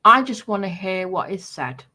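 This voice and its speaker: A woman's voice: mid-range voice, lively intonation, normal speed, bright, forceful tone. A woman talking informally, making a complaint.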